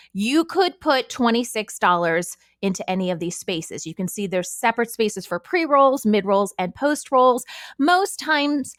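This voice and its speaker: Woman, higher-pitched voice, dry close sound, conversational tutorial style, explanatory, frequent check-ins. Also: dry recording. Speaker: podcast network host giving a tutorial. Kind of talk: screen-share software walkthrough, informal instruction.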